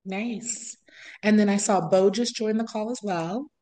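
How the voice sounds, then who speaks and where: A woman's voice: mid-range voice, unhurried, dark tone. A woman hosting a casual community meeting over a video call, friendly and keeping things moving. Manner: friendly, moves things along. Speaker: meeting host.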